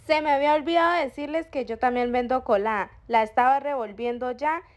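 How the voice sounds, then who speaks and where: A woman's voice: sits high, fairly quick. A young woman, narrating her working day in a chatty, upbeat voice-over. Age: young adult. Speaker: vlogger.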